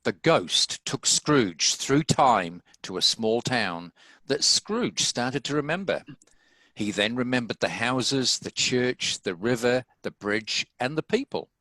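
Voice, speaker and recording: A man's voice: medium pitch, lively intonation, slow, pushed and bright, no echo, poor audio. A man reading scripted story narration as the narrator of a table read.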